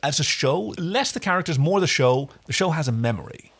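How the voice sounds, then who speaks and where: A man's voice: fairly high pitch, expressive, fairly quick, fairly bright tone. A man co-hosting a podcast, recapping a TV episode in casual, explanatory and jokey chat. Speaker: podcast co-host.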